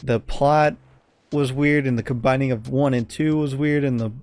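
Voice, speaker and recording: A man's voice: medium pitch, steady tone, moderate pace, darkish tone, no echo. A man co-hosting a casual podcast, giving blunt opinions with some swearing and often searching for words. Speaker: podcast co-host.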